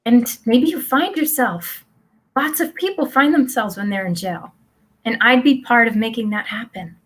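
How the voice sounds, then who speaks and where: A woman's voice: fairly high pitch, expressive, normal speed, fairly bright tone. A woman playing a blithely supportive middle-aged mother in a live play reading, placid and reassuring. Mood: placid, doting.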